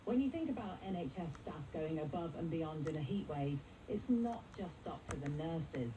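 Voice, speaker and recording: A woman's voice: sits low, lively intonation, moderate pace, noisy background. A woman reading a scripted news report as a radio reporter. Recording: distant radio with chatter over it.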